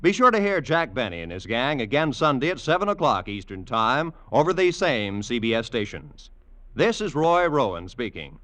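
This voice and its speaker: A man's voice: mid-range voice, big pitch swings, normal speed, a bit bright. An American man announcing on network radio in a polished, theatrical 1950s scripted style. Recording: vintage radio broadcast, music behind.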